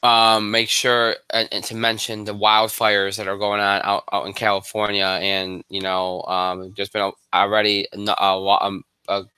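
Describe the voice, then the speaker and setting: Male sports host, congested, high-sounding yet low-pitched speech, very bright and clean, dry close mic, loose rambling delivery. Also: completely flat delivery, moderate pace, few pauses, smooth, no echo. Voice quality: high voice for a man. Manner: rambling with many fillers and restarts. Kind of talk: live solo sports show.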